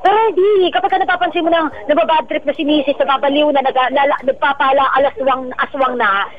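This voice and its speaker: A woman's voice: high-pitched, fairly even intonation, fairly quick. A Filipino woman co-hosting a radio show, chatting casually and reading listener messages in a bantering, slangy, giggly way. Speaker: radio co-host. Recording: dull band-limited remote link.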